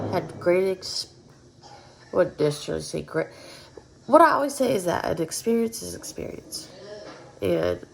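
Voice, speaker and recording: A woman's voice: medium pitch, vocal fry, darkish tone, rough recording. A woman with a Southern US accent, congested and nasal from sinus trouble, chatting bluntly in her kitchen with swearing and dry humor. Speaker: homesteading vlogger. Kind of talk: casual chat to camera. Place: home kitchen.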